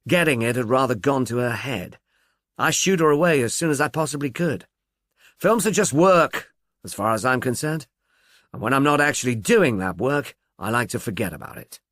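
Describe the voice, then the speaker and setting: A man's voice: mid-range voice, animated, moderate pace, a bit bright, no room sound, studio quality, close to an everyday voice. A man voicing an offhand, self-assured man of the film world in an audiobook, with a dismissive manner.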